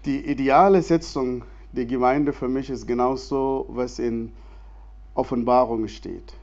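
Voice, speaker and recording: A man's voice: mid-range voice, steady tone, a little slow, darkish tone, highs cut off. A man from Sudan speaking German, answering questions on a church stage in an earnest, hesitant way with frequent fillers. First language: Arabic. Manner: earnest, many hesitations, non-native grammar, laughs easily. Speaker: guest speaker, theologian. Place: church hall.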